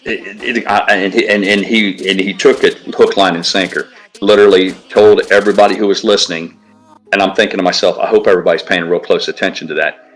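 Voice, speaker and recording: A man's voice: deep voice, steady tone, a little fast, fairly bright tone, rough recording. A man co-hosting a casual livestream, talking in a blunt, profane, ranting way. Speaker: livestream co-host. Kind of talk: casual stream chat.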